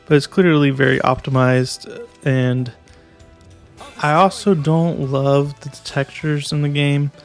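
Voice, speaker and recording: A young man giving a casual video game review, hesitant and restarting his sentences often. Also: medium pitch. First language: English.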